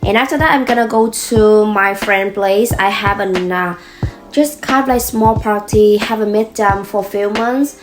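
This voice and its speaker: A young woman from Vietnam with a Vietnamese accent, talking casually to her vlog audience, soft and unhurried, with many fillers and restarts. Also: mid-range voice, music in the background. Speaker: vlogger.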